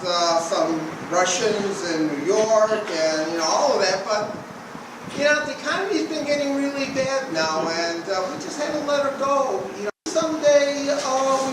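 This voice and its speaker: A weary veteran spy manager who rambles and hesitates, played by a man on stage. His voice is high. He is far from the mic in a strongly echoing hall with noise. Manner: rambling, hesitant, with many fillers. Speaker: improv player. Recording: echoey hall from far off, noisy.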